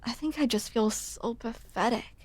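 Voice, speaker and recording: A woman's voice: a little high, normal speed, darkish tone, natural voice. A young woman playing a grumpy, smitten barista in an intimate ASMR roleplay, awkward and hesitant as she confides in the listener. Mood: shy, vulnerable. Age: young adult. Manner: awkward, hesitant, self-deprecating, confessional.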